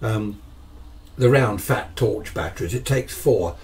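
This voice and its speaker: An older man from the south of England with a fairly low voice. He presents in a casual, rambling way, with wry, self-mocking asides, like someone chatting across a workbench in a small workshop. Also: measured pace, darkish tone. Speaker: presenter of a radio workshop channel. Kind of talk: casual chat, product review. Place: small cluttered indoor radio workshop.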